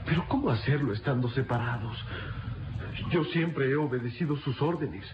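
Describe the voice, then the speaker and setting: A man's voice: medium pitch, lively intonation, normal speed, natural voice. A male actor playing a loyal, earnest and anxious boy in a radio melodrama, pleading and hesitant. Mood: despondent. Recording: dull, noisy old radio recording.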